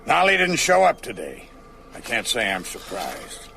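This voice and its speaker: An actor playing a weary old constable in a radio drama, with a gravelly voice and a folksy, resigned manner. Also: male voice, mid-range voice, wildly swooping pitch, a little slow, background noise, exaggerated voice. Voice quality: gravelly, coughing. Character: sad, weary old male small-town constable, resigned and evasive.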